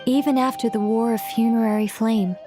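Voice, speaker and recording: A woman's voice: on the high side, fairly even intonation, brisk, over music, close to an everyday voice. An actress playing an eccentric, absent-minded female mage in a video game, explaining at length in a scholarly, wordy manner. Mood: solemn narrating. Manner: long-winded explaining, flustered when interrupted.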